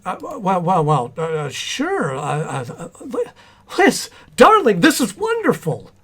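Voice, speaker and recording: A man acting a doting, excitable, stammering husband in a 1940s-style radio comedy. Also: on the high side, unhurried, heavily acted. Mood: flustered, amazed.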